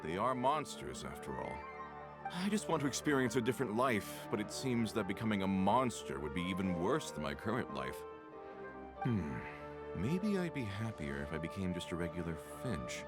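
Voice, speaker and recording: A man's voice: fairly low pitch, very animated, moderate pace. A man voicing an earnest, world-weary, wistful ordinary villager in a video game. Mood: disappointed, wistful. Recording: music behind the voice.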